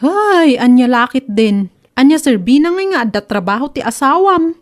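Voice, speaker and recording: Woman acting high pitch, Ilocano accent, nosy disapproving neighbour friend, comedy radio drama. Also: sing-song, moderate pace. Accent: Filipino Ilocano.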